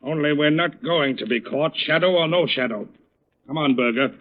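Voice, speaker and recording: An actor playing a ruthless, bullying swindler with hard, clipped delivery in an old radio crime drama. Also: male voice, a little high, moderate pace, lightly acted. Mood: defiant, threatening. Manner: hard, clipped commands, defiant. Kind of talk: 1930s-40s radio acting. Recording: muffled, narrow-band old radio recording.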